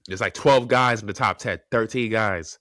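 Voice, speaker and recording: A man with a very low voice that still carries a bright, forceful edge, sounding congested because he is under the weather. He hosts his own sports podcast, arguing his rankings in an opinionated way that circles back on itself. The recording is dry and close, with no room sound. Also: normal speed, no echo. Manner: opinionated, thinking aloud with restarts. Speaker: podcast host. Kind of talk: solo sports commentary podcast.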